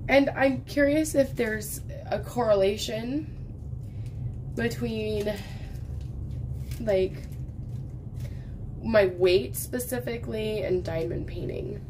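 A woman's voice: fairly high pitch, slow, long pauses, background noise. A woman in her thirties chatting while she works on a craft, rambling from thought to thought. She is getting over a lost voice. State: recovering from lost voice, coughing. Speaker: crafting vlogger. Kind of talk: casual chat while diamond painting. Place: indoors at a craft table.

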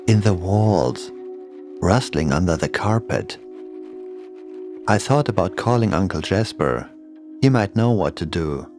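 A man reading a scripted narration as the narrator of a horror podcast. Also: fairly low pitch.

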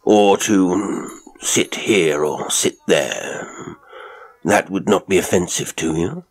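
A man voicing a fussy, wheedling middle-aged gentleman employer in an audiobook, with a husky voice and drawn-out, repetitive phrasing. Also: low-pitched. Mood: genial wheedling. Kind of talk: audiobook character acting.

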